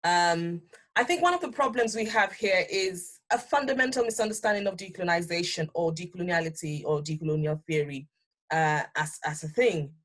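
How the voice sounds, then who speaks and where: A woman academic speaking unscripted on a panel, explaining things in a thoughtful, halting way. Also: fairly low pitch.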